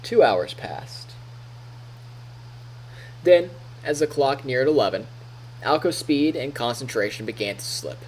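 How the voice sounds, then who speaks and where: A man, a streamer reading a visual novel aloud in an expressive storytelling style with casual asides. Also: mid-range voice, unhurried, long pauses, a little hiss or hum, poor audio.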